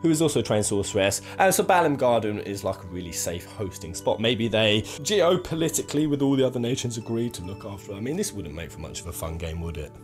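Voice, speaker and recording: Male countdown host, informal and chatty gaming commentary, highly varied intonation, music bed underneath. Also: lively intonation, fast talker, hardly any pauses. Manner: conversational, informal with swearing. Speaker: video game countdown host. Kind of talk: casual commentary on game lore. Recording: music playing behind the voice. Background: game soundtrack music.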